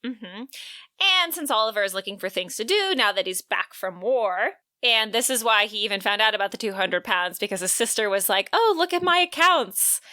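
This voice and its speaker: A woman's voice: medium pitch, brisk, pushed and bright, no echo, studio quality. A woman co-hosting a podcast, recapping and discussing a book. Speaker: podcast co-host. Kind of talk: book discussion with plot recap and read quotes.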